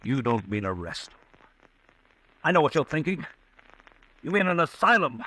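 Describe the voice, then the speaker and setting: A man's voice: on the high side, big pitch swings, normal speed, sharp tone, heavily acted, low-quality recording. An actor playing a desperate, agitated fugitive who fears for his sanity, in old-time radio drama style, pleading and overwrought. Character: desperate fugitive man, agitated, fearing he is insane.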